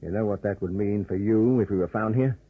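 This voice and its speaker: A man playing a tough, brusque pilot in a radio drama, giving urgent clipped orders in a husky voice. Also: on the low side, brisk, no room sound. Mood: tense, businesslike. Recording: dull old radio recording.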